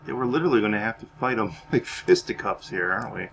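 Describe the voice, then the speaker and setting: Low-voiced man doing game commentary, noisy recording. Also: lively intonation, fast, gravelly. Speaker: gaming video host. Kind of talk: roleplay game commentary, casual and in character.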